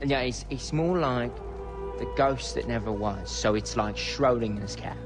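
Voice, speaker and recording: A man plays a British stoner expat in a comic video game scene. He rambles amiably and hesitantly in a medium-pitched voice. Music plays clearly behind him. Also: unhurried, lightly acted. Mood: spaced-out storytelling. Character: rambling laid-back male stoner, foreign expat.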